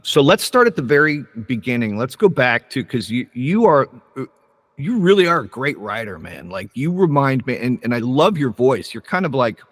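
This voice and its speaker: A man's voice: mid-range voice, a bit bright. Host: man, eager and stumbling over his words, mid-range voice, reverberant sound. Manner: halting, enthusiastic, many restarts. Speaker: podcast host. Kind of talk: casual interview.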